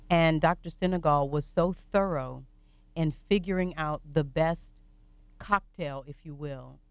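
A radio show host with a mid-pitched, smooth voice interviews a guest. The host affirms often and talks in a conversational way. The sound is close and dry. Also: no echo. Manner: affirming, frequent backchannel.

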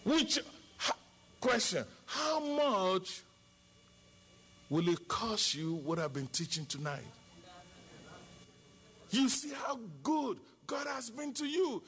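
Animated high-pitched Nigerian male preacher. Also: slow pace, long pauses. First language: Yoruba. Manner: emphatic, repetitive preaching with rhetorical questions. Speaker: pastor. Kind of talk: impassioned sermon.

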